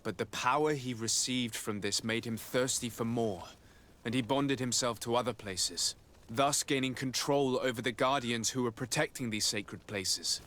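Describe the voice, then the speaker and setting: Earnest male noble played by an actor, courteous and measured, game cutscene, ambient noise behind. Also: mid-range voice, fairly bright tone, close to an everyday voice. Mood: grave, urgent. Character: earnest, courteous young noble diplomat, burdened by family betrayal. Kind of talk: video game cutscene dialogue acting.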